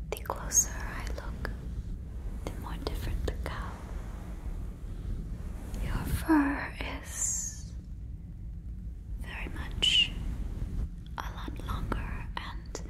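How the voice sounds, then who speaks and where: A woman voicing an earnest, protective alien in an ASMR roleplay, whispering breathily close to a microphone with halting, stilted phrasing. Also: high-pitched. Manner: halting, stilted phrasing like a learner of human words. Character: earnest female alien, protective, gently convinced listener is a cow. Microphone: fluffy microphone, very close.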